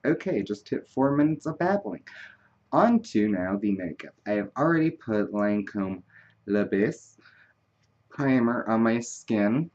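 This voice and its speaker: A man's voice: lowish voice, very animated, a little slow, darkish tone, dull audio. A man hosting a makeup tutorial, chatting casually in a wandering, self-deprecating way. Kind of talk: casual rambling tutorial chat.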